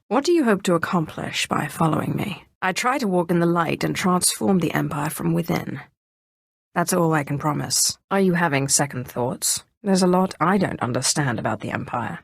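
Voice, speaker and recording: Proud calculating sith sorceress for a video game, lowish voice, dry studio sound, cool and sardonic. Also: female voice, expressive, moderate pace, creaky, breathy edge, no room sound, full character voice. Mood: cool, assured. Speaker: video game player character. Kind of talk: scripted video game dialogue acting.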